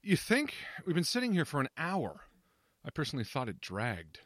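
A man's voice: fairly high pitch, huge pitch swings, moderate pace, a bit bright, no echo, studio quality, full character voice. A man playing a curt, impatient friend in an audio drama, dismissive and sarcastic. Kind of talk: scripted audio drama dialogue.

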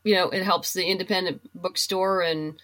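Female interview guest, lowish pitch, informal conversational delivery. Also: fairly even intonation, normal speed, a bit bright, low-quality recording. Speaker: podcast guest, writer. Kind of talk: casual interview chat.

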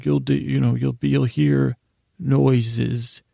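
A man hosting a bedtime podcast in a meandering, halting monologue with a gravelly voice, often interrupting himself. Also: mid-range voice, level tone, mellow, no echo, studio quality. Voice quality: gravelly, creaky. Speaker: podcast host. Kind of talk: rambling bedtime monologue to help listeners sleep.

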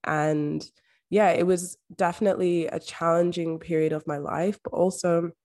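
A young woman from Scotland with a soft Scottish accent, a podcast guest speaking openly and reflectively in a casual interview. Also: on the low side, steady tone, moderate pace. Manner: open, reflective, uses "like" often. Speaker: podcast guest, mindset coach.

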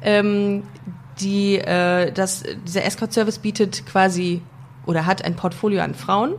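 A woman's voice: medium pitch, steady tone, a little slow, a little hiss or hum. A woman hosting a podcast in casual interview chat, joking and talking with many fillers and restarts. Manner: chatty and jokey, with frequent fillers and restarts. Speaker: podcast host.

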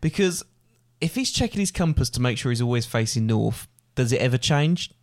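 A man taking a quiz on a casual podcast, thinking aloud with hesitant pauses. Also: mid-range voice, expressive, a little mellow, dry recording, studio quality. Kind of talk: casual chat.

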